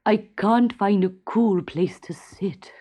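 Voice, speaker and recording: A woman voicing a fussy, excitable king in a storybook, blustering and complaining. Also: mid-range voice, takes it slowly, pitched above the performer's own voice. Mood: grumbling.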